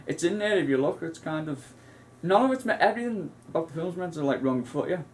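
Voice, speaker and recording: A man's voice: on the high side, expressive, quick pace, a little hiss or hum. Rambling man talking films. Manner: rambling, many restarts and asides. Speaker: co-host. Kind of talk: casual film discussion.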